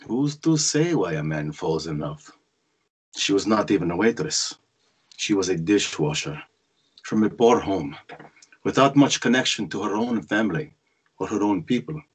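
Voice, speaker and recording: Low, husky brooding narrator played by a man. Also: expressive, normal speed, rough recording. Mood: wry, reflective. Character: grown son narrating his parents' story, wry and brooding. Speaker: actor reading narrator part. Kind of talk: dramatic first-person narration at a cold read. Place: indoor room on a video call. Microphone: video call.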